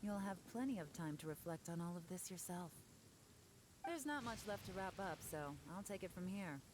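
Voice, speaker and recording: A woman's voice: lowish voice, expressive, moderate pace, noisy background, lightly acted. An actress playing a cool, confident female investigator in a video game, composed and dryly menacing as she speaks without hurry. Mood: stern, measured. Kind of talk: English video game voice acting.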